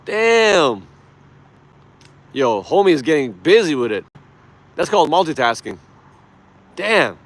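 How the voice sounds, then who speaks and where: Male livestream host from Iran, native Persian speaker, slightly high voice, informal and emphatic, chatting and opining outdoors. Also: very animated, slow, long pauses. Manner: emphatic, informal, swears freely. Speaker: live stream host. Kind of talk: casual livestream chat and political commentary.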